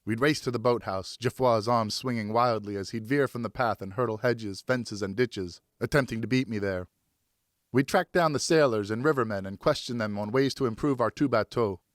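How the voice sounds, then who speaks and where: Expressive male audiobook narrator, dry sound. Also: medium pitch, moderate pace, no echo. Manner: expressive, carefully phrased storytelling. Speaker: audiobook narrator, professional voice actor. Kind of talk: scripted literary narration.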